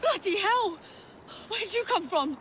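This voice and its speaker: A panicky working-class English thug in a game. He stammers and pleads in a very high, piercing voice. There is faint noise around him. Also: expressive, unhurried, bright, forceful tone, full character voice. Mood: startled. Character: frightened, cowering working-class male London thug. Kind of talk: video game character acting.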